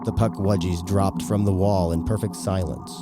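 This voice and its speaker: Deep-voiced man narrating a horror story. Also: steady tone, moderate pace, loud music behind the voice. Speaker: podcast producer and drama narrator.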